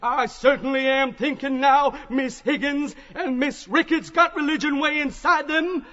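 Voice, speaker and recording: A man's voice: very high voice, steady tone, a little slow, fairly bright tone, voice pushed up. A man voicing a fervent evangelist prophet who hawks salvation in folksy, hectoring showman's patter, in an audiobook drama. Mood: pleading fervour. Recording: muffled audio in a long echoing hall.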